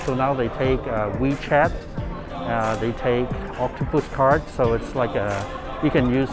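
Chatty man touring a fast food menu. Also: mid-range voice, loud music behind the voice. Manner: chatty, enthusiastic, filler-heavy. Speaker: food vlogger. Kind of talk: casual food vlog narration.

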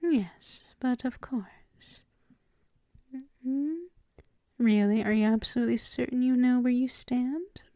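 A woman's voice: fairly high pitch, no room sound, studio quality. A woman playing a dominant, teasing vampire mistress in an intimate ASMR roleplay, whispering breathily and lingering over repeated endearments. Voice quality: breathy whisper mixed with soft voiced speech. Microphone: close microphone.

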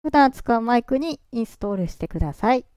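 A presenter walking through software setup step by step in a calm, explaining way, their voice run through a pitch-raising voice changer. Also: brisk, clean tone, dry recording, clean studio sound. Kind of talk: screen-recorded software walkthrough.

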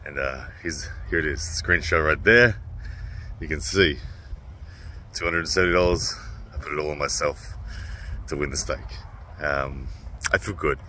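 A man's voice: very deep voice, moderate pace, long pauses, sharp tone, a little hiss or hum. A man in his thirties talking casually to a phone camera held at arm's length outdoors, upbeat and chatty with lots of fillers. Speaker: armwrestler vlogger. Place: outdoors by a car park.

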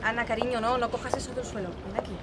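A woman's voice: on the high side, fairly even intonation, very fast, lightly acted. An actress playing a polite, guarded young mother in natural audio-drama dialogue. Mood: gently chiding. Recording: noisy outdoor fountain ambience, slightly distant.

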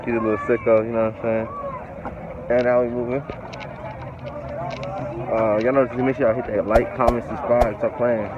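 A young man filming a casual vlog at an outdoor swap meet, talking in a relaxed, slangy way with plenty of filler phrases, phone held at arm's length. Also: medium pitch, moderate pace, long pauses, muffled recording, background noise. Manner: laid-back slangy talk, lots of filler phrases. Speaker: vlogger.

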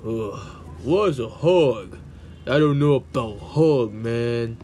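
Man as a slow-talking grumpy bomb-headed guy. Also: mid-range voice, dark tone, a little hiss or hum, voice pushed down, close to an everyday voice. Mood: irritated. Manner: halting, casual, tacks "man" onto sentences. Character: grumpy, awkward, baffled male bomb-headed creature. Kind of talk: amateur comic dub.

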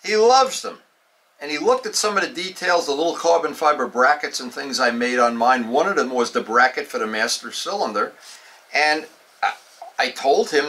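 Bright-voiced older man rambling casually. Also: fairly high pitch. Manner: rambling, self-correcting, folksy. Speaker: motorcycle restoration YouTuber. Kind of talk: casual Q&A to camera.

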